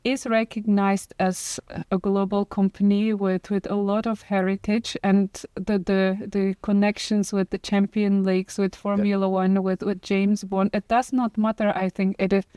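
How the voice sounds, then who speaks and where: A woman's voice: mid-range voice, flat delivery, normal speed, few pauses, dark tone, clean tone, dry recording. A woman from Poland on a company podcast panel, talking with many hesitations and restarts. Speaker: employee ambassador.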